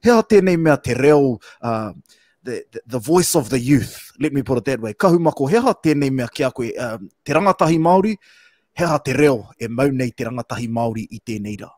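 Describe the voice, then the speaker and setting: A New Zealand man hosting a panel discussion over a video call, speaking in a measured way with frequent fillers. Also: mid-range voice, lively intonation, a little mellow, dry recording, clean studio sound. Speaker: host. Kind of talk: panel discussion, mixing Māori and English. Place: home room, video call.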